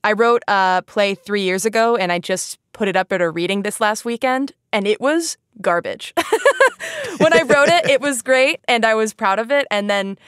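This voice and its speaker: A woman's voice: mid-range voice, moderate pace, fairly bright tone, clear, resonant tone, no echo, clean studio sound. A woman hosting a casual educational podcast, chatting informally with plenty of "like" and an easy laugh. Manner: giggly, laughs easily. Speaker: podcast host.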